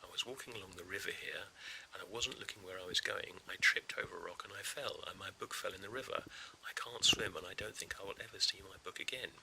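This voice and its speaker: A man voicing a sorrowful old man who lost his book, in a soft whisper. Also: deep voice, quick pace, vocal fry, background noise. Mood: gentle.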